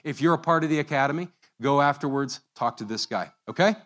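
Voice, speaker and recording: A man's voice: fairly high pitch, fast talker, a bit bright. A man addressing a church congregation in a conversational way, with frequent fillers. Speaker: church speaker.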